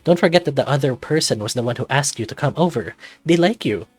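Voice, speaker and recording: A man's voice: mid-range voice, expressive, quick pace, a little mellow, husky, dry recording, faint music behind. A man voicing an anxious, self-doubting man in his thirties, narrating a visual novel introspectively in the first person. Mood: self-encouraging. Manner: introspective, confessional, dramatic reading.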